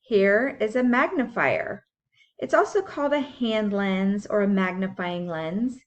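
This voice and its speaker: A woman's voice: mid-range voice, animated, a little slow, lo-fi sound. A woman teacher reading a science book aloud for young children, explaining things simply and putting questions to her listeners. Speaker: school teacher.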